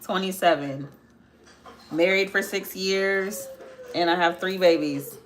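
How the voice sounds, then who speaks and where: A woman's voice: lowish voice, slow pace, a little hiss or hum, poor audio. A woman in her twenties hosting a live makeup tutorial, chatting casually with viewers and answering their comments as she works. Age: 20s. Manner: chatty, answers viewer comments while working, repeats phrases. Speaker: makeup livestream host.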